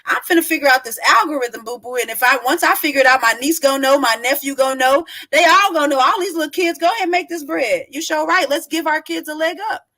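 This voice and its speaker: A woman in her forties with speech, high-pitched and bright, pressing her points hard in casual group talk. She cuts in often and keeps the floor. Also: fast, hardly any pauses, low-quality recording. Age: 40s. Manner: emphatic, talks over others. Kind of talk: casual panel chat.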